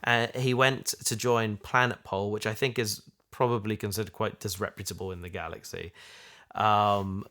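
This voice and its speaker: A man's voice: lowish voice, steady tone, normal speed, sharp tone. Hesitant man chatting, thinking aloud. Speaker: podcast co-host and audio drama creator. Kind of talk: casual discussion chat.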